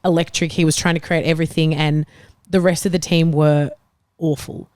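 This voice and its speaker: A woman with a slightly low voice gives her views in a casual football chat. Her delivery is colloquial and emphatic. The sound is close and dry. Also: flat delivery, moderate pace, darkish tone, dry recording. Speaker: football podcast panellist and fan.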